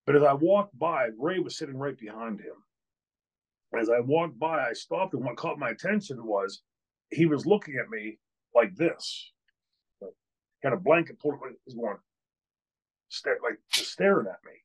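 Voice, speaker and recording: A man's voice: medium pitch, animated, moderate pace, long pauses, husky, poor audio. An older man, host and former wrestler, telling a candid story on a video call from a hotel room, with many fillers and restarts. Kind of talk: storytelling in an interview. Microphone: video call, webcam.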